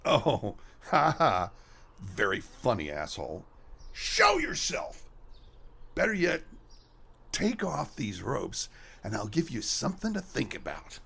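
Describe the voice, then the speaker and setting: An actor playing a panicked, belligerent killer held captive in a radio mystery, swinging between bluster and pleading with a gravelly creak. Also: male voice, medium pitch, huge pitch swings, slow pace, pauses often, bright, forceful tone, exaggerated voice. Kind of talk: radio mystery theatre acting.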